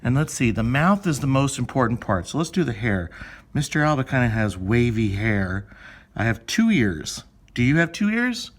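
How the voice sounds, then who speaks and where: A man's voice: medium pitch, very animated, normal speed. Male elementary teacher, mid-range voice, informal step-by-step delivery aimed at young children, with conversational questions. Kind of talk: step-by-step lesson for young children, thinking aloud.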